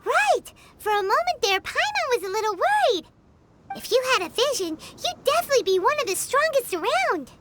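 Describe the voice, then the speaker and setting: Tiny fairy guide, very high squeaky voice, sing-song swoops, excitable, game music behind. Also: female voice, a little fast, very noisy. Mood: cheerful. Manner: excitable, talks of herself by name. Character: tiny chirpy female fairy companion, eager.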